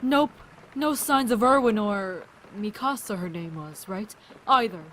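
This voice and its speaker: A woman acting a brisk, caring survivor who looks after younger companions in an audio drama. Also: mid-range voice, a bit bright, close to an everyday voice. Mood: concerned. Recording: rainy ambient noise behind.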